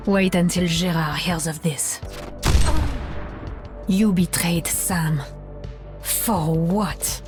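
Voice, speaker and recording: A woman's voice: lowish voice, steady tone, drawn-out words, long pauses, over music. A woman playing a dismayed, accusing comrade in a shooter video game.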